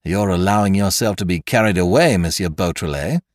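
A man's voice: sits low, animated, a little fast, dry recording, studio quality. A man reading aloud and voicing an indignant older male magistrate who blusters and protests. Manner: blustering, gruff.

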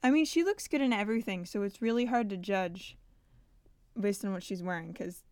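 A woman co-hosting a casual fan podcast, relaxed and a little hesitant, giggling now and then. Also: mid-range voice, fairly quick, no echo. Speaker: podcast co-host.

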